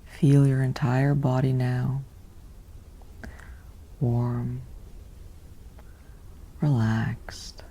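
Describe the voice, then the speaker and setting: A woman softly guiding a sleep meditation in a hushed, half-whispered, soothing delivery with long pauses. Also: very deep voice, little pitch movement. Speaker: narrator of sleep stories and guided meditations. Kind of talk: slow guided sleep meditation, soothing bedtime reading.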